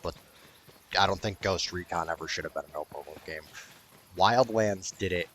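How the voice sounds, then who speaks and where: A man's voice: low voice, moderate pace, fairly bright tone, slight background noise. A man hosting a gaming video with casual, rambling, mildly profane unscripted commentary.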